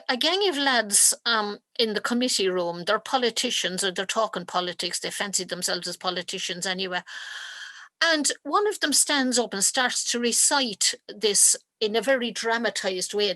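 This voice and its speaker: A woman giving an online literature lecture over a video call, speaking thoughtfully with frequent pauses, ums and restarts. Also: mid-range voice, sharp tone, dry recording. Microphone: computer mic on a video call.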